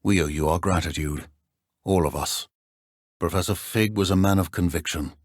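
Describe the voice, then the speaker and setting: An actor playing a stern middle-aged British wizard professor in a video game, speaking in a measured, dry, formal way. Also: male voice, low voice, no echo. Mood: solemn.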